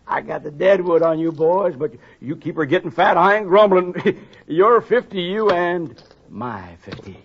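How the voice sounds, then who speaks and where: Cackling high-pitched old-West cowboy gambler. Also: male voice, expressive, a little slow, vocal fry, breathy edge, full character voice. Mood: gloating. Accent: American. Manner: folksy, cackling, drawling. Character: cackling, boastful frontier cowboy gambler with a mean streak. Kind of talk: 1950s radio western acting. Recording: dull old 1950s radio recording.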